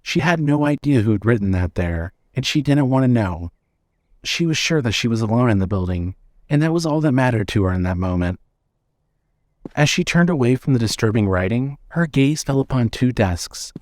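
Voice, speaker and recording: A man narrating a scripted story in a measured, suspenseful way. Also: mid-range voice, darkish tone, dry recording, studio quality.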